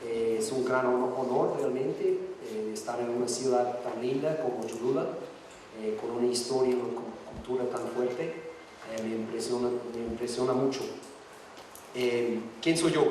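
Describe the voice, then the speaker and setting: A man's voice: medium pitch, steady tone, a little mellow, recorded from a distance, slight background noise. Hesitant man in an echoey hall. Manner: hesitant, halting non-native Spanish. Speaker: guest speaker. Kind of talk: conference talk, partly read from notes. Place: large conference hall.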